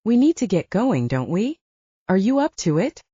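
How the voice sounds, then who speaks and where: A restrained male werewolf from a romance audiobook as a woman narrator acts him out. He is guarded and dry, with a mellow, husky voice. The recording is close and a little muffled. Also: mid-range voice, sing-song, moderate pace, dry recording.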